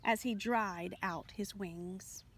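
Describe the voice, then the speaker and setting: A woman reading a children's picture book aloud as an expressive storytelling narrator. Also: medium pitch, takes it slowly, a little hiss or hum. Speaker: storybook reader.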